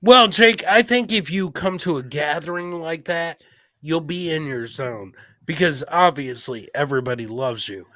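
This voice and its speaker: Male podcast host, bright punchy voice on the higher side, slangy hype-man delivery, loose swearing, dry close sound. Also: lively intonation, moderate pace, no room sound. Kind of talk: casual chat with guests.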